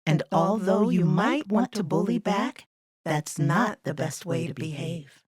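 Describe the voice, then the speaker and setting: A woman voicing a gentle, reassuring mother rabbit in a children's storybook, with a breathy voice. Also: on the low side, expressive, a little slow, no room sound, studio quality.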